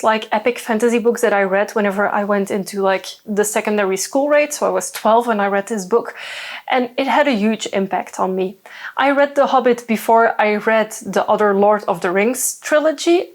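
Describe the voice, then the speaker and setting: Young woman, native Dutch speaker, for a casual book chat full of asides, slightly higher voice. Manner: chatty, many fillers and asides. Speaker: book reviewer. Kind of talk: casual book review talk.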